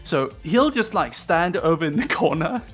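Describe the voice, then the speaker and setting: A man's voice: a little high, sing-song, normal speed, faint music behind. A man from Denmark whose English has a British accent learned in school. He chats casually as a guest, laughing often and swearing freely. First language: Danish. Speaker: VTuber guest. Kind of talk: casual chat.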